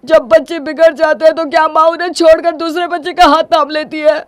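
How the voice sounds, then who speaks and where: A proud, distraught young husband, acted by a woman, speaks with melodramatic emphasis. The voice rides high in a dry story recording. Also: unhurried, vocal fry, a little mellow, no echo. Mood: desperate pleading.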